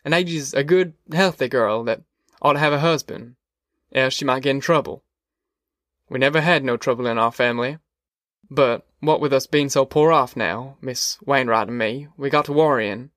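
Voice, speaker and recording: A man's voice: mid-range voice, normal speed, a bit bright, dry recording, studio quality, natural voice. A man voicing an earnest, worried poor farmer and father in an audiobook, hesitant and polite, with a rural Southern American accent. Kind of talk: audiobook character dialogue.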